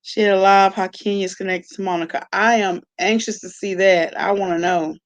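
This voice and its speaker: A woman's voice: mid-range voice, fairly even intonation, brisk. A woman hosting a casual livestream, talking to viewers in an informal, slangy, conversational way. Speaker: live-stream host reacting to online gossip.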